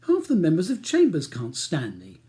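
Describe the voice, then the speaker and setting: A man's voice: fairly high pitch, huge pitch swings, moderate pace, dark tone. A man reading a novel, voicing a defensive, weary English barrister husband with an indignant, formal way of speaking.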